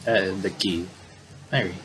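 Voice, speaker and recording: A man's voice: sits low, steady tone, noisy background. A man giving casual, rambling commentary on a game playthrough, reading dialogue aloud and often stopping to correct himself. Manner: rambling, self-correcting, halting. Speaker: gaming video commentator.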